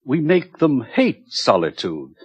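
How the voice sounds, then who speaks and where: An actor playing an urbane, condescending world controller in a radio drama, lecturing in a measured, philosophical way. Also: male voice, medium pitch, huge pitch swings, breathy edge, exaggerated voice. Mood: calmly lecturing. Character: urbane, condescending, cynical middle-aged resident world controller.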